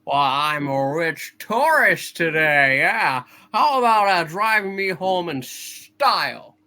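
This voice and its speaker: Guy doing an aw-shucks small town young man, slow n hesitant, very lively pitch. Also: full character voice. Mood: aw-shucks, eager. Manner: hesitant, folksy. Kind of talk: live comedic radio play reading.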